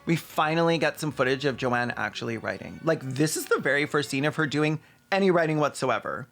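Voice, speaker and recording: Sardonic, higher-pitched male commentator. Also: expressive, brisk, vocal fry, a bit bright. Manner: sardonic, mocking, acts out dialogue. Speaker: host of a video commentary channel. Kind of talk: sarcastic film recap commentary.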